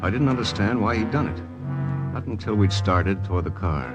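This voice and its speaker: Man acting a deep-voiced hard-boiled American radio agent. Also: animated, normal speed, muffled recording, lightly acted. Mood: brooding narration. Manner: hard-boiled, terse, cynical. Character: tough, bitter American agent narrating his wartime mission. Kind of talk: old-time radio drama acting and narration. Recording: vintage radio broadcast with loud music behind.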